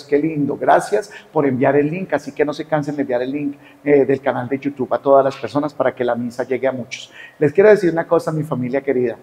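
A man's voice: mid-range voice, a little mellow. A male priest, speaking after Mass in an emotional, grateful, heartfelt way.

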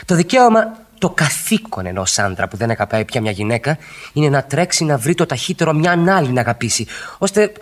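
A man's voice: medium pitch, big pitch swings. An actor playing a restless, eloquent romantic husband in his thirties in a 1960s radio play, with rhetorical, emphatic phrasing and repeated words. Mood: impassioned.

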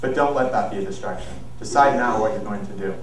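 A young man giving an earnest, measured persuasive speech on an auditorium stage, heard from a far camera. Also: lowish voice. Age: young adult. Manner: earnest, measured, with some restarts. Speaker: student speaker. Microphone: far camera, no visible mic. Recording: very echoey hall, distant mic, faint noise.

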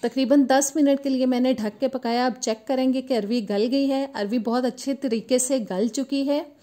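A woman, host of a cooking channel, calmly explaining a recipe step by step in voice-over. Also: sits high, moderate pace. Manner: steady step-by-step instructional tone.

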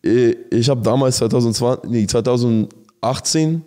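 Halting man. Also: medium pitch, dark tone. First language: Russian. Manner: halting, repeats words, street slang. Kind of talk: casual chat.

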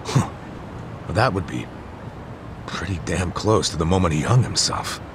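A man's voice: deep voice, animated, normal speed, noisy background. An actor playing a shrewd, blunt, suspicious man in a dramatic video game. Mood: probing.

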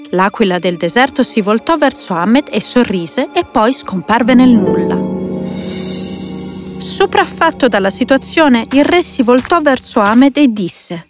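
A woman narrating a scripted fairy tale for children as a storyteller. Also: fairly high pitch. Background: faint music.

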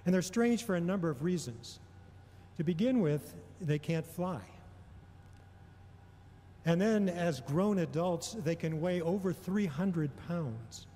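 A middle-aged man delivering a prepared homily in a measured, deliberate way, pausing often between phrases. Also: on the high side, warm tone. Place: large indoor church or hall. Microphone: gooseneck microphone on a lectern. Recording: long reverberation of a large hall.

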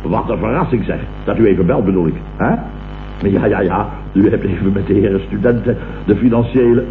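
A man's voice: fairly low pitch, a little fast, close to an everyday voice. Muffled old radio comedy: male actor as blustering, self-satisfied boss, swooping intonation, echoey room. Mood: flustered, incredulous. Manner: pompous, self-satisfied, repeats himself. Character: pompous, blustering male company boss, vain and excitable. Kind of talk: 1960s Dutch radio comedy acting. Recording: muffled, hissy, echoey vintage radio recording.

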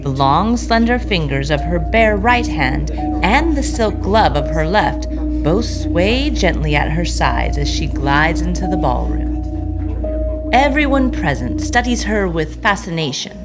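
Expressive low-voiced woman narrator. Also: unhurried, music in the background. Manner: theatrical, suspenseful. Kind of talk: dramatic scripted narration of a Victorian tale.

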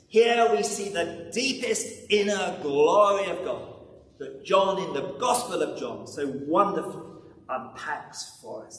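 An older man in his sixties or above preaching a sermon with emphatic delivery, dramatic pauses and rhetorical repetition. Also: high-pitched, a bit bright. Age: 60s or older. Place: large church interior at a lectern. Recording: echoey church, distant mic, background noise.

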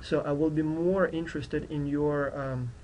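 A man's voice: a little high, fairly even intonation, measured pace, darkish tone, a little hiss or hum, poor audio. A man who is a non-native English speaker, giving an informal, explanatory course introduction as a university instructor. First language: not English, which is his fourth language. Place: indoors at a computer.

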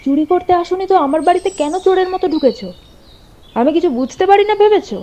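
A woman's voice: very high voice, lively intonation, fast, dark tone. A woman playing a protective, fiery homemaker in a doll-story drama, speaking theatrically and emphatically. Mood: outraged scolding.

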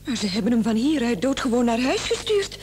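Distraught wife, reproachful, highish voice, old radio drama, faint noise and hum. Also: female voice, sing-song, brisk, a little mellow. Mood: upset, protesting. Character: distraught, reproachful wife of a gravely ill man.

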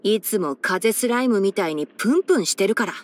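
A woman's voice: medium pitch, expressive, a little fast, natural voice. A woman voicing a young male fox-eared ranger in a video game, scholarly and sensible, speaking in a composed, articulate, mildly wry way. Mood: dry, cautioning. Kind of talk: scripted video game character voice-over.

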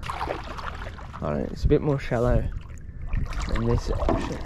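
A young man with an Australian accent giving casual, excitable fishing commentary in colloquial language. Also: mid-range voice, slow, heavy background noise. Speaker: fishing vlogger. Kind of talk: casual live vlog commentary. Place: outdoors on paddle board on river. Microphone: body-mounted action camera.

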